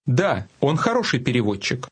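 A man modeling phrases in a language lesson, saying words slowly and breaking them into syllables. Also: mid-range voice, very animated, husky. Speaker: native-speaker model in a lesson. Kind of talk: scripted lesson demonstration.

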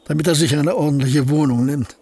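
An actor playing an aging male painter and poet in a radio play, speaking in a husky voice with a dry, reserved and curt manner. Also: fairly high pitch, steady tone, a little fast, a little mellow, no echo. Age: older.

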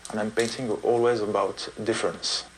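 A French man with a French accent, giving unscripted interview answers in halting non-native English. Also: on the low side, little pitch movement, a little hiss or hum, rough recording. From France. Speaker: street artist, interviewee. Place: artist's studio in Paris suburbs.

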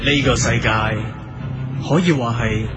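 A man's voice: medium pitch, takes it slowly, lightly acted. A man playing an ominous supernatural show narrator in a parody. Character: slow, spooky male supernatural show narrator. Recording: loud music behind the voice.

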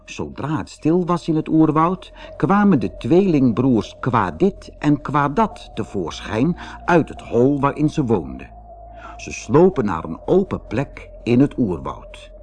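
Very animated male storyteller, unhurried. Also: mid-range voice, slight background noise. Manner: expressive, dramatic. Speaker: narrator. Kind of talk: scripted children's audiobook storytelling.

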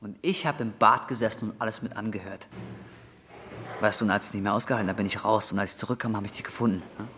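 Broke young drug courier, low voice, weary, coarse, desperate, radio drama, faint noise. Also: male voice, fairly even intonation, fast talker, sharp tone. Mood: bitter, hurt. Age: 20s. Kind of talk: radio drama acting and first-person narration.

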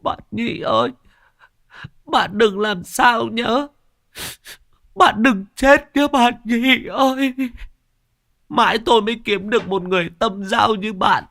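A man's voice: high-pitched, sharp tone, pitched above the performer's own voice, heavily acted. A man voicing a melodramatic old man who sobs and wails over his dying friend, in an audio story. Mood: wailing. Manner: sobbing, wailing and drawn-out cries.

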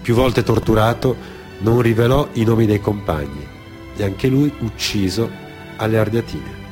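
A man reading a scripted radio theatre narration in a grave, measured, declamatory manner. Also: on the low side, over loud music.

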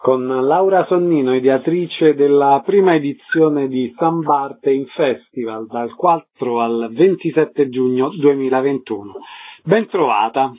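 A man's voice: mid-range voice, measured pace, slight background noise. A man hosting a radio interview programme, presenting guests and putting questions to them. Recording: narrow phone-like radio sound.

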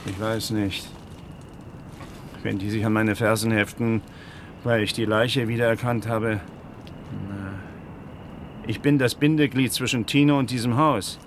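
A man's voice: fairly low pitch, fairly even intonation, measured pace, long pauses, background noise, natural voice. An actor playing an uneasy working man who explains himself earnestly in a radio drama. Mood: uneasy, explaining. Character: uneasy male actor turned alarm installer.

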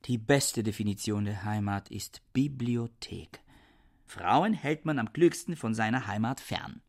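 A stiff, irascible male scholar in a radio play, declaring things pompously. His voice is raspy and gravelly, with big swings in pitch. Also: expressive, measured pace. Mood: pompous resolve.

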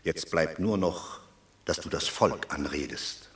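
An actor playing a dignified, conciliatory Roman senator in a classic radio play, speaking in formal oratory. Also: male voice, rumbling low, unhurried. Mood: urging. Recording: echoey radio drama studio.

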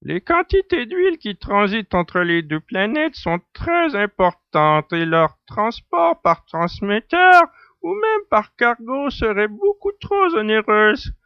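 An actor playing a jovial alien native in a science-fiction audio drama, explaining a cunning plan in measured phrases. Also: medium pitch, very animated, clean tone. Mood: confident, explaining. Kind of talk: French amateur sci-fi audio drama acting. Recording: dull, roomy, radio-like recording.

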